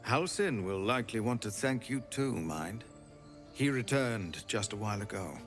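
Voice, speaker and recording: A man's voice: on the low side, animated, moderate pace, natural voice. An actor playing a man at a camp who passes on news, in video game dialogue. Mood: matter-of-fact. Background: music.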